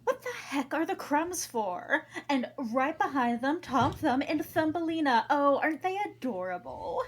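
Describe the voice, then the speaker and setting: A breathless, gushing red-carpet host coos over every arrival in bright showbiz patter, read by a woman. The voice sits a little high, and a faint hiss runs behind it. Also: very animated, measured pace. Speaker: table read performer. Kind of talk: live comedic table read.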